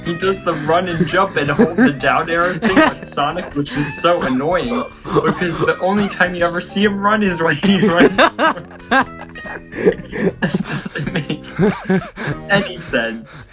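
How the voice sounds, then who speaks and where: A woman takes part in a casual read-along, reading script lines and commenting as they go. Music is clearly audible behind the voice. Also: on the low side, expressive, measured pace, pauses often. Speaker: co-reader.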